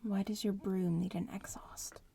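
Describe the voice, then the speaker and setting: A woman plays a young witch in training, smug and a little disdainful, in an ASMR roleplay. She drifts between whispers and soft murmurs at a very leisurely pace, with a gravelly fry at the edges. The mic is close and picks up clear background noise. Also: fairly even intonation, unhurried. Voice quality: soft, breathy whispering mixed with low murmur. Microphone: close ASMR microphone.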